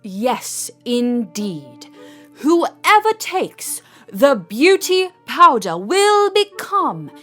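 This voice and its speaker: A woman playing a distraught, mysterious male wizard in a storybook audio drama, with theatrical, drawn-out delivery. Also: sits high, sing-song, fairly bright tone, faint music behind, full character voice. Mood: sly, ominous.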